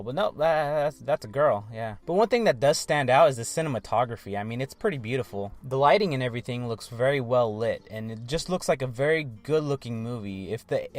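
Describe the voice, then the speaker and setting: A man in his twenties with an American accent gives casual movie commentary, wryly asking himself questions and answering them. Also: mid-range voice. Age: 20s. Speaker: movie vlog host.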